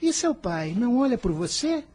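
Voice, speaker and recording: Earnest teenage boy played by an adult actor, radio drama, solemn formal diction, soft mellow tone, lively swooping intonation. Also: mid-range voice, moderate pace, husky. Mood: reassuring, determined. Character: earnest, kind fifteen-year-old boy, humble and protective.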